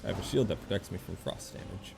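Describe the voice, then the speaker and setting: A man's voice: low-pitched, animated, fast talker, creaky, background noise. A man doing casual live gameplay commentary in an offhand, understated way, reading dialogue options aloud. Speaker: game streamer. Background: game music.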